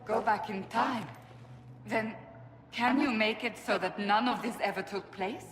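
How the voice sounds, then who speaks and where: A woman's voice: medium pitch, a little slow, natural voice. Actress doing broken devout warrior woman from a game, solemn, anguished, very echoey, music under it. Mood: grave. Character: despairing, devout, dying female warrior. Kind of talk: dramatic video game cutscene acting.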